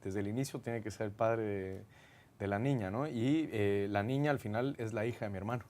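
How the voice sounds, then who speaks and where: A man's voice: fairly low pitch, brisk, a little mellow. A man from Guatemala with a Guatemalan accent, a film director answering interview questions in an earnest, reflective way with frequent hesitations. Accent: Guatemalan Spanish.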